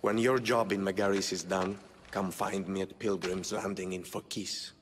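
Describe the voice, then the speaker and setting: Man acting low-voiced, calculating patron, reverberant. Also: little pitch movement, moderate pace, heavy background noise, natural voice. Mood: coolly amused. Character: smooth-talking, calculating rich male patron hiring mercenaries. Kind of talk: video game cutscene acting. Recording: long reverb, background music.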